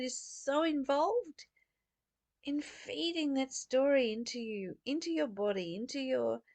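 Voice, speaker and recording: Slow, creaky-voiced woman giving spiritual guidance. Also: sits high, no echo. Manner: deliberate short phrases with emphatic stresses. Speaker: spiritual teacher. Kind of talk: spiritual teaching talk, intimate and meditative.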